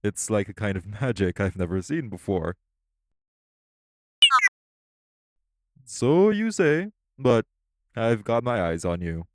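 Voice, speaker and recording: A man playing a composed, self-assured tech company boss in an audio roleplay, speaking in a polished, measured, articulate way. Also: fairly low pitch, very animated, darkish tone, no echo. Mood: teasing, curious. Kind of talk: audio roleplay acting, one-sided dialogue.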